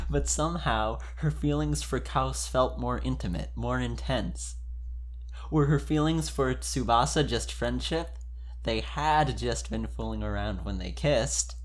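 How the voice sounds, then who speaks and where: A man speaking informally and expressively, as a podcast member giving an amused dramatic reading of fan fiction. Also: mid-range voice.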